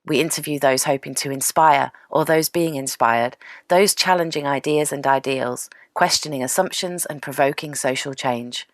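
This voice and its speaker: Female podcast host, low voice, has a cold, dry close sound. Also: moderate pace, dry recording. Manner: gentle, hesitant with fillers. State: full of cold. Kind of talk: interview.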